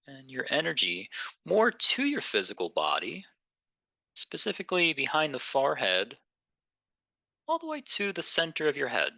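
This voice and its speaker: A man leading a guided meditation in a gentle, unhurried, conversational way, pausing between phrases. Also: medium pitch, gravelly, dry recording.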